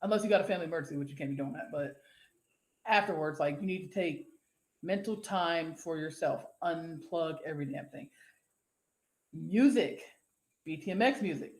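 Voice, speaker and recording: A woman's voice: low voice, moderate pace, pauses often. A woman co-hosts a casual live-stream show. She reads viewer comments aloud and rambles between them, swearing freely and laughing easily. Her voice rings in an echoey room. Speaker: co-host.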